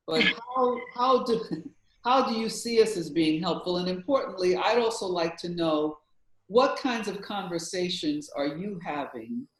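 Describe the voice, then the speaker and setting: Male moderator, very slow and halting, voice a bit high, echoey room. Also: lively intonation, a bit bright. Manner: halting, repeats words while asking questions. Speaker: panel moderator. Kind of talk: panel discussion.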